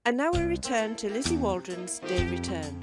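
A woman's voice: mid-range voice, big pitch swings, normal speed, close to an everyday voice. A woman playing a continuity narrator, reading scripted narration for a radio play. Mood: storytelling. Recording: quiet voice under loud music. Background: loud music.

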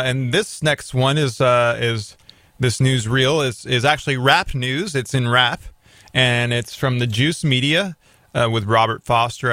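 A man's voice: mid-range voice, bright, forceful tone, no room sound, studio quality. A man hosting a talk radio show, chatting loosely with many fillers and restarts.

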